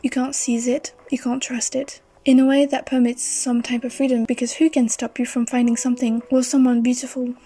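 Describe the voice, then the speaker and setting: A young woman with a slightly high, mellow voice talks in a fairly even, level tone. She muses aloud and wanders between thoughts, filling pauses with "like" and "um", as a casual voice-over for her art video. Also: husky. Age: young adult. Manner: reflective, rambling, with fillers. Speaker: art vlogger.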